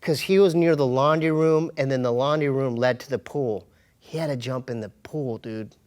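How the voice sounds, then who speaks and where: A man speaks as an interview guest, telling stories in a casual, slangy style. His voice sits at a medium pitch. He often halts and repeats a phrase before carrying on. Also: moderate pace, a little mellow. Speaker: interview guest, comedian and podcast host. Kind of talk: casual animated storytelling.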